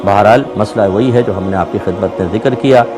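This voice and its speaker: A man speaking as an Islamic scholar, answering a religious question in a measured, explanatory way, point by point. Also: on the low side, music in the background.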